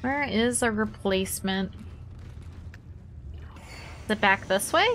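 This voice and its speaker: A woman's voice: mid-range voice, slow pace, fairly bright tone, slight background noise. A young woman streaming a game, chatting playfully with her chat as she plays. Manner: playful, chatty, talks to chat while playing. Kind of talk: casual gameplay commentary to chat.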